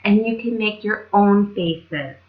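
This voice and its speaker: A woman's voice: medium pitch, takes it slowly, narrow, tinny audio. A woman telling stories to children as a library storyteller, in a casual style.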